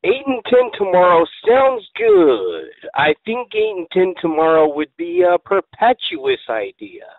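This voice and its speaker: Guy doing a weird rambly confused prank caller, voice swoops around, gravelly bits, tinny phone. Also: high-pitched, huge pitch swings, unhurried, few pauses, no echo, full character voice. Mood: flustered. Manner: rambling, repetitive, theatrical, grandiose wording. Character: eccentric, muddled, easily excited man needing medication.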